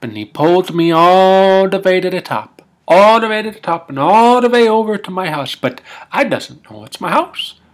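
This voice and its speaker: A man playing a folksy, rambling Minnesotan husband with a Scandinavian-tinged Minnesota accent, telling comic tall tales in halting, homespun grammar. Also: on the high side. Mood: uneasy, relieved. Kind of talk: comic tall-tale storytelling.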